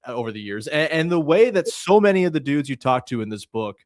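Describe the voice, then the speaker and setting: A man's voice: a little high, sing-song, fast talker, dry recording, clean studio sound. A man, speaking as a sports podcast host. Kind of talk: sports podcast talk and sponsor reads.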